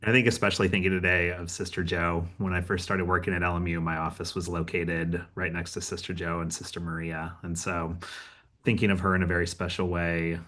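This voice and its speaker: A man's voice: low-pitched, level tone, a little fast, sharp tone. A man giving a humble, informal thank-you speech as an award recipient. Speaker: award recipient, university staff member.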